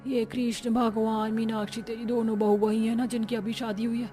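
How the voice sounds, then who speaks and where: A woman plays a disapproving, gossipy village aunty of middle age. The voice is high and scandalized, in a cartoon drama over faint music. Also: level tone, moderate pace, mellow, natural voice.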